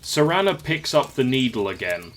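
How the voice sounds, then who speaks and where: A man's voice: medium pitch, sing-song, normal speed, fairly bright tone, slight background noise. A man narrating a scripted audio drama in the present tense, as a storyteller.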